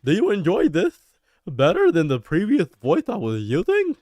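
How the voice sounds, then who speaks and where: Man doing a high squeaky silly voice, nasal, playful, dry. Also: huge pitch swings, moderate pace, darkish tone, dry recording, full character voice. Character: man's squeaky high-pitched joke voice. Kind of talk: live stream chat.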